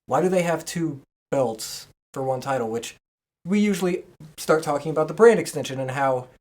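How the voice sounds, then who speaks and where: A woman's voice: deep voice, expressive, moderate pace, a little mellow. Female host for a casual group podcast, informal, enthusiastic delivery.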